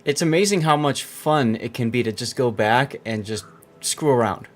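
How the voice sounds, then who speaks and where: A man's voice: medium pitch, normal speed. A man hosting a game tournament on his stream, chatting casually with a co-host and the audience, rambling with fillers.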